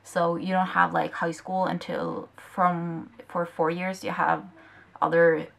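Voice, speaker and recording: A young Norwegian woman speaking English with a Norwegian accent, her voice pitched a little low and full of vocal fry. She rambles casually through a vlog Q&A, reading each question aloud before answering it in a chatty, unhurried way. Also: level tone, a bit bright. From Norway. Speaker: vlogger.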